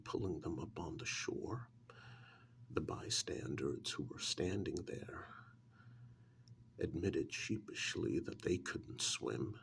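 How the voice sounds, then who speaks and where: Slow, hushed man telling an ASMR story. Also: deep voice, gravelly, a little hiss or hum. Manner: hushed, often dropping into whisper; halting, deliberate phrasing with long pauses. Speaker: ASMR role-play performer. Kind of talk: soft-spoken ASMR storytelling.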